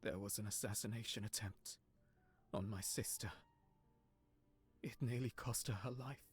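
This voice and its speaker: Guy acting as a heartbroken noble lad in a visual novel, lowish husky voice, measured and guarded. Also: fairly even intonation. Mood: bitter, hurt. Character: heartbroken young nobleman, guarded, wounded, confiding in his servant.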